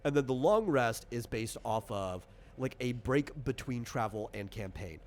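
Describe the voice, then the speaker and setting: A man's voice: mid-range voice, lively intonation, measured pace, darkish tone. A man casually explaining tabletop game rules as designer and game master, talking informally with examples.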